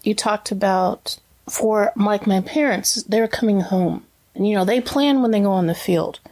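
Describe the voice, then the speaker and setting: A woman's voice: mid-range voice, measured pace, darkish tone. A woman who grew up as a missionary kid, talking as an interview guest in a casual, reflective way with many fillers. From Brazil, raised as a missionary kid. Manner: chatty, many fillers and restarts.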